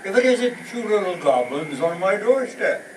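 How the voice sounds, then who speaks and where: A man's voice: high-pitched, very animated, moderate pace. A man playing a genial neighbor in a 1940s radio comedy. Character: genial neighbor man welcoming trick-or-treaters. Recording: echoey hall, distant, noisy.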